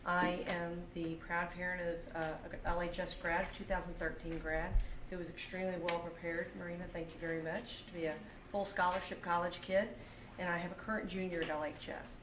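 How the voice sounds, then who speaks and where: A woman's voice: fairly low pitch, level tone, a little fast. A middle-aged woman from Texas with a Texan accent, giving an earnest public comment as a parent at a school board meeting. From Texas, United States. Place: indoor public meeting room. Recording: echoey, noisy meeting room, distant microphone.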